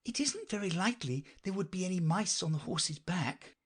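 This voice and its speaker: Man putting on a high, husky, curious girl. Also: expressive, normal speed. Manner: polite, questioning. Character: polite, curious young girl in a storybook.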